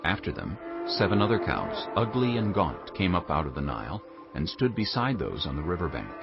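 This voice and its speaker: A man giving a solemn, measured and dramatic narration of scripture, formal in delivery. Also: low-pitched, sing-song, gravelly, muffled recording, over music.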